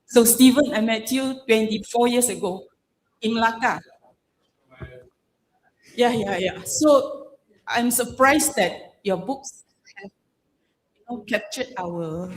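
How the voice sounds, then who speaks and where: A woman from the audience addressing the author, reminiscing in a somewhat halting way. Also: fairly high pitch, rough recording.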